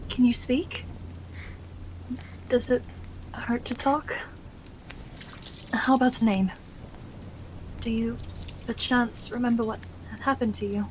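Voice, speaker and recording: Genteel young wife and nurse played by a female actor, higher voice, tender and polite, ASMR roleplay with faint rain noise. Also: fairly even intonation, slow, long pauses, low-quality recording. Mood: polite concern. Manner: genteel, tender, old-fashioned polite wording. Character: Irina, genteel caring young wife tending the wounded.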